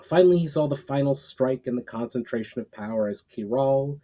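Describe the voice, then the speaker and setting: A man who is an author reading his own fantasy writing aloud in a storytelling style, a little halting in delivery. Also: medium pitch, mellow. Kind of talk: casual intro, then dramatic storytelling read aloud.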